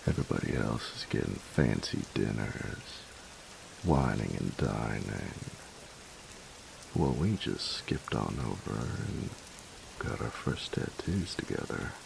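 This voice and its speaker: A gruff young bully boyfriend, acted by a man in an intimate roleplay, with an extremely low voice full of heavy fry. He takes his time and teases with a tender undertone. Clear hiss and rain sit behind him. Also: heavily acted. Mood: relaxed teasing. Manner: gruff, teasing, halting when tender. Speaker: voice actor in roleplay audio. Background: steady rain.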